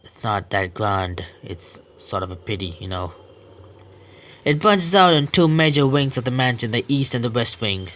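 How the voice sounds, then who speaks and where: A man's voice: mid-range voice, animated, moderate pace, a bit bright. Young man reading a horror game aloud. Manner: stumbling reads, swearing and joking asides. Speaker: game streamer. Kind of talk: reading a visual novel aloud with commentary.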